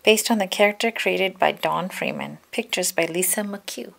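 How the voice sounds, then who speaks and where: A woman reading a picture book aloud for children, giving key words expressive emphasis. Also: fairly low pitch, moderate pace, gravelly. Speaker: children's storybook reader.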